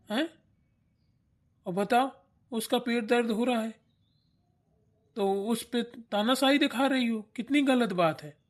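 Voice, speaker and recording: A woman's voice: on the high side, lightly acted. A woman voicing a protective young husband and grown son in a family cartoon, firm and reproachful. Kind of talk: Hindi family cartoon acting.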